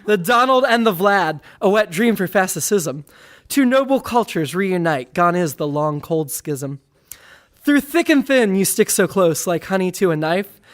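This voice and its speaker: A man's voice: high voice, lively intonation, a little slow. A man performs comic poetry with mock grandeur. He declaims each rhyming line as satire, reading it out in the formal style of an ode. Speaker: comedy poet and performer.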